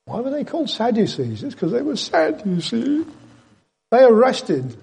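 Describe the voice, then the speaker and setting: A man's voice: very high voice, big pitch swings, moderate pace, creaky, dark tone, slightly rough. An English man giving an informal Bible talk as a preacher, in a conversational teaching manner with dry, jokey asides. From Britain. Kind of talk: informal sermon with slides.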